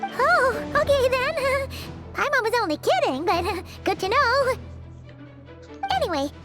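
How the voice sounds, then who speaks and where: A tiny female fairy companion in a video game, childlike and excitable. The voice is extremely high and squeaky, swinging around in a perky, exclamatory way. Music plays clearly behind it. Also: unhurried. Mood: sheepish, friendly.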